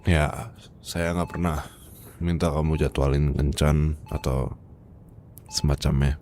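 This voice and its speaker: A man playing a weary, heartbroken boss confiding from his sickbed in an ASMR roleplay, with an Indonesian accent and a hesitant, breathy, murmuring delivery. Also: very deep voice, little pitch movement, a little mellow, slight background noise, heavily acted. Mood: wistful, resigned. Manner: hesitant, murmuring, halting, sighing.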